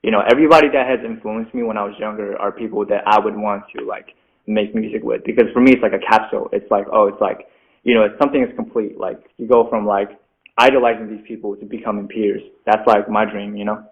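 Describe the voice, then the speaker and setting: A man's voice: lowish voice, steady tone, fast talker. Chatty young man on a tinny call. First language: Mandarin. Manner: chatty, says "like" constantly, self-deprecating laughs. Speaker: interview guest, rapper. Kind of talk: casual interview chat. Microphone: video call. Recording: phone-like video call audio.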